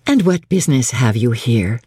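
Woman reading as a genteel, commanding young lady, husky. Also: rumbling low, lively intonation, moderate pace, warm tone, no echo, clean studio sound, voice pushed down, heavily acted. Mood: kindly commanding. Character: kindly, authoritative young Englishwoman, bossy hostess sister.

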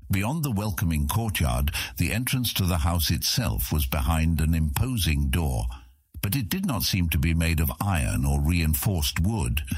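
A man's voice: sits low, slightly rough, no echo. A man reading a fantasy audiobook as its scripted narrator.

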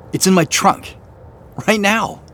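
An actor playing an excited younger brother on a treasure hunt, in naturalistic audio drama dialogue. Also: male voice, sits high, slow. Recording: faint vehicle noise behind. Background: car driving.

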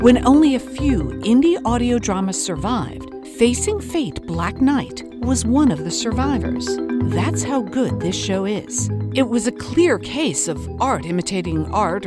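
A woman presents a podcast over loud music. Her delivery is scripted and wry, with lively, wide-ranging intonation and a tongue-in-cheek edge. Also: medium pitch.

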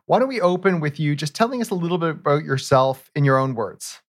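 A man's voice: fairly high pitch, fast. A man from Canada hosting a business podcast. He talks in an enthusiastic, conversational way. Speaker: podcast host. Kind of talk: podcast interview.

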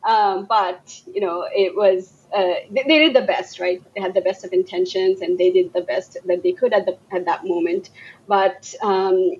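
A woman's voice: mid-range voice, steady tone, moderate pace, a little mellow, lo-fi sound. A woman from Bangladesh, a guest on a casual talk show, speaking thoughtfully with many fillers and restarts. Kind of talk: casual podcast interview.

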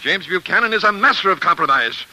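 A man's voice: sits high, expressive, quick pace, bright, forceful tone, full character voice, rough recording. An actor playing a stern, principled older senator and father in an oratorical 1940s radio drama style. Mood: forceful, adamant. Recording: hissy 1940s radio recording.

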